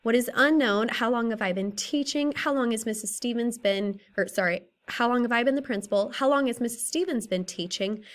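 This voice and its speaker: A woman in her thirties with a slightly raspy, tired voice, methodically presenting a school case study for a class. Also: fairly high pitch, fairly quick, hardly any pauses. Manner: steady, methodical, works through a list of questions. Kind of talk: reading a prepared class presentation.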